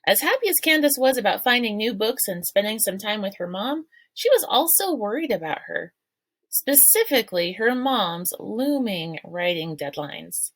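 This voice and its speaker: Woman reader, children's novel read-aloud, steady storytelling, dry sound. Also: mid-range voice, normal speed, dry recording.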